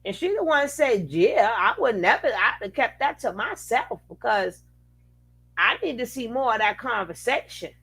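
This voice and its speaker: Woman, skeptical, wry, casual commentary, bright voice with gravelly fry. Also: a little high, animated, moderate pace. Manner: skeptical, wry, laughing asides. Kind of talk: casual live stream commentary.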